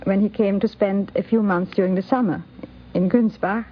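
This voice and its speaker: A woman's voice: medium pitch, steady tone, moderate pace, slight background noise. Woman reminiscing on dull vintage radio. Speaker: interviewed photographer recounting memories. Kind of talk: anecdotal reminiscence. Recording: dull 1950s radio recording.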